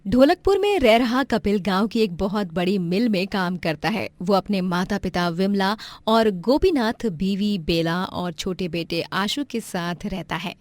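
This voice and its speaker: Female narrator for scripted moral bedtime stories; medium pitch, dry sound. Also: expressive, a little slow, few pauses, dry recording.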